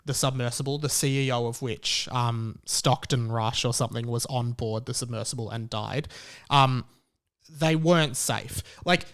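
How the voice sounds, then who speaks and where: A man's voice: mid-range voice, moderate pace, a bit bright. A man hosting a podcast, giving casual opinion commentary in a conversational, rambling and emphatic way. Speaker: podcast host.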